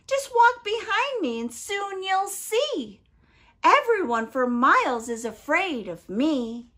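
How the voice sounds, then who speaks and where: A woman's voice: very high-pitched, sing-song, slow pace, sharp tone, voice pushed up, full character voice. A woman voicing a small, bold, boastful mouse from a children's storybook, with cheeky, trickster confidence.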